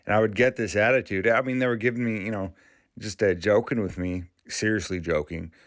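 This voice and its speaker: A man from Maine hosting his channel, talking casually in a halting, rambling way with frequent restarts. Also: fairly low pitch, fairly even intonation, no room sound, clean studio sound. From Maine, USA. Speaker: YouTube channel host. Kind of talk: casual vlog commentary.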